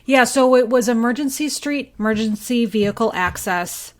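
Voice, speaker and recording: A woman's voice: fairly high pitch, moderate pace. A woman speaking as a guest journalist, talking through local news conversationally and qualifying her points as she explains.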